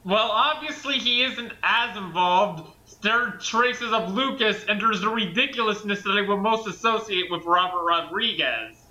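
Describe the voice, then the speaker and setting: Very high, sharp-voiced man, echoey. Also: normal speed, hardly any pauses, dull audio. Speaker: commentator. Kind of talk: casual joking speculation. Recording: roomy, echoing sound.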